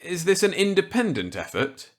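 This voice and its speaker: A man's voice: high voice, very animated, moderate pace, pitched above the performer's own voice. A man voicing an earnest, resentful government scientist in a storybook reading, stiff and incredulous in delivery.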